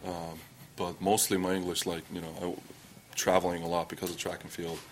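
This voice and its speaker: A young man from Estonia with an Estonian accent, answering press questions in halting English full of fillers and restarts. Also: little pitch movement, slight background noise. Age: young adult. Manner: halting, many fillers and restarts, low-key. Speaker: athlete at a press conference.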